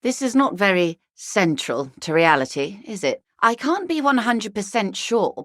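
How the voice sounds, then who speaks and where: A woman's voice: on the low side, no echo, clean studio sound. An actress playing a wealthy, worldly older woman in a video game, articulate, arch and wryly condescending. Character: wealthy, worldly older woman, urbane, wry, intellectually vain. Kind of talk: video game character dialogue.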